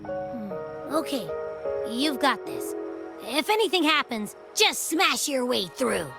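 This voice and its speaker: A woman's voice: high voice, very animated, slow, vocal fry, loud music behind the voice, heavily acted. A woman playing a cheerful, easygoing young companion in a video game, talking in a casual, breezy way. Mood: encouraging, breezy. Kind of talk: video game character acting.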